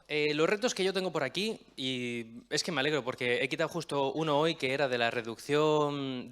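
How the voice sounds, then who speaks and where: A man's voice: mid-range voice, a bit bright. A young man from Murcia who has lost his regional accent, giving a chatty explanatory public talk to an audience. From Murcia, Spain. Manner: chatty, explanatory, self-deprecating asides. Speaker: guest speaker.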